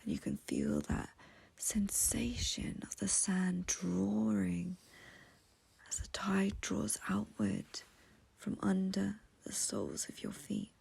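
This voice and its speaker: A woman leading a guided meditation in a soft, breathy, whispery voice, gentle and soothing, with long pauses. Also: medium pitch, big pitch swings.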